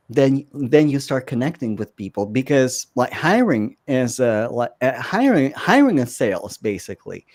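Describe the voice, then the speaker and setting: A man's voice: mid-range voice, a little mellow. Thirties man from Russia, coach guest, chatty and full of restarts. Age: 30s. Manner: conversational, many fillers and restarts. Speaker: career and business coach. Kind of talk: podcast guest telling his story.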